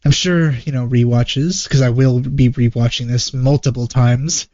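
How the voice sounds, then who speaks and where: A man giving casual reaction commentary as a host, hesitant and rambling as he thinks out loud. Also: medium pitch, fairly even intonation, a little slow, no echo. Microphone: wrong microphone, close and dry. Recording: dull, muffled lo-fi microphone.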